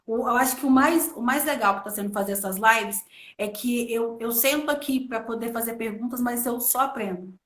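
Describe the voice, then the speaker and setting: Woman from Brazil, live-stream host, casual filler-heavy talk, high voice, echoey room recording. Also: moderate pace.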